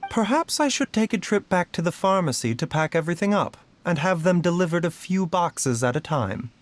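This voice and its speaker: Courteous, gentle doctor, expressive pitch. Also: male voice, a little hiss or hum. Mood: polite, apologetic. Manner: polite, measured, softly formal. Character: gentle, courteous adult male doctor, calm and scholarly. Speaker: game character voice actor. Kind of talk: scripted game voice lines. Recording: music behind the voice.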